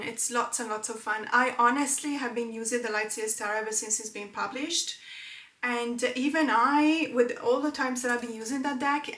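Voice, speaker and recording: A woman's voice: a little high, fairly bright tone. Female tarot vlogger whose first language is Italian; chatty, filler-heavy delivery in a roomy, echoing space. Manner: chatty, rambling, many fillers. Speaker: tarot channel host. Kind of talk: casual talk to camera. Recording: roomy echo.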